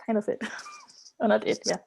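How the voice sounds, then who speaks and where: A woman's voice: fairly high pitch, huge pitch swings, normal speed, creaky, a little mellow, breathy edge. A woman chatting casually on a video call, using slang and peppering her talk with "like". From Denmark. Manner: youthful slang, frequent "like". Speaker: guest artist. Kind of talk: casual chat.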